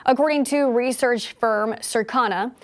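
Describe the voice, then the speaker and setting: An American woman working as a news anchor, reading scripted news in a measured, formal newsreader delivery. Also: fairly high pitch.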